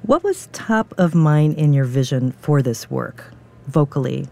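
A woman hosting a radio opera broadcast, asking questions and presenting in a measured, polished way. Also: low-pitched, expressive, creaky, warm tone. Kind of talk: broadcast interview and scripted presenting.

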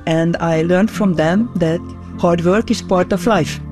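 A woman with a Hungarian accent, a native Hungarian speaker, talks in a plain, reflective tone. Faint music plays quietly beneath her voice. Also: fairly low pitch, unhurried. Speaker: scientist. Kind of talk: recorded interview clip.

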